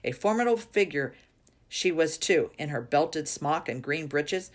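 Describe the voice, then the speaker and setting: A woman reading a children's story aloud in an expressive narrating style. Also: sits low, unhurried. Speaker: reader.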